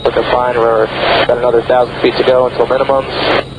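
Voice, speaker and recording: A man's voice: mid-range voice, level tone, brisk. A man giving flight instruction from a cockpit, patiently coaching a pilot step by step with encouragement. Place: small aircraft cockpit in flight. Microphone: aviation headset intercom. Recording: dull intercom audio, loud aircraft engine noise.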